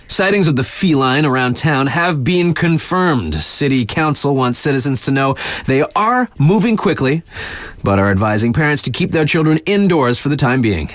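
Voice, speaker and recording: An actor playing a laid-back, wry mountain-town radio DJ in a video game, reading mock local news with casual, slangy deadpan asides. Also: male voice, on the high side, no echo. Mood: mock serious.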